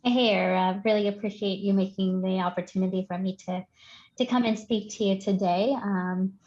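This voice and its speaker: A woman in her forties, born in Sri Lanka and raised in Canada, speaking as a guest in a podcast interview, thoughtful with many pauses and restarts. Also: medium pitch, steady tone, darkish tone, muffled recording. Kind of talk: casual interview chat.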